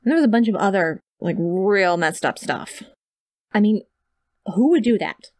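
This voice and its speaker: A sweet young yandere kidnapper, acted by a woman in a comedic audio roleplay. She rambles awkwardly and insists on her innocence in a medium-pitched voice, recorded dry and close to the mic. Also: animated, moderate pace, darkish tone, dry recording, natural voice. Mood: chatty, defensive. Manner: chatty, rambling, flustered self-denials, hesitant filler words. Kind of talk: ASMR roleplay, one-sided comedic monologue to the listener. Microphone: close studio mic, dry.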